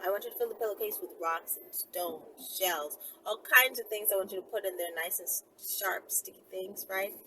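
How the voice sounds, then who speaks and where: A woman's voice: mid-range voice, a bit bright. A woman talking casually to her viewers as a channel host, rambling as she gives step-by-step instructions. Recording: clearly noisy, with background ambience.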